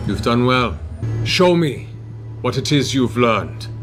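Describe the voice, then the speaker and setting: A man's voice: medium pitch, animated, slow pace, music in the background. A man playing a commanding druid elder who challenges his pupils in a solemn manner in a tabletop game.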